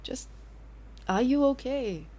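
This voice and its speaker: An actress playing a concerned lover in an audio drama, speaking in a natural, conversational way that gently probes and needles. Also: female voice, high-pitched, expressive, slow pace, heavily acted. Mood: caring, concerned. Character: concerned, probing female lover of a withdrawn musician.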